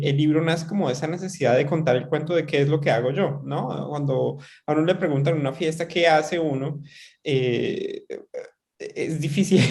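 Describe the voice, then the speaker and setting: A man in his thirties from Bogotá, with a Bogotá Colombian accent, giving reflective, measured answers as an interview guest. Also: medium pitch, darkish tone. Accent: Bogotá Colombian Spanish. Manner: reflective, measured, with frequent pauses. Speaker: astrophysicist guest.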